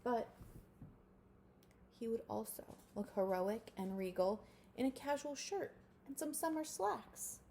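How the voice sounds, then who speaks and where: A woman reading a storybook aloud to children expressively, with animated asides to her listeners. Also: fairly high pitch, takes it slowly, creaky, pauses often, slight background noise. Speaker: storybook reader.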